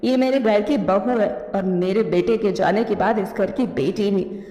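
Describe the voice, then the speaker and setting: A woman voicing a caring, worried mother crow in a cartoon story, coaxing and gently scolding. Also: mid-range voice, measured pace, voice pushed down, close to an everyday voice. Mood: firm, protective. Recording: echoey reverberant studio track.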